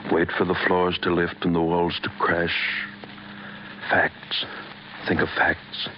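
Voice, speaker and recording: An actor playing a brooding, despairing chemist in a halting inner monologue from an old-time radio drama. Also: male voice, low-pitched, monotone. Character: brooding, despairing male chemist recalling his folly. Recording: noisy, muffled old radio recording.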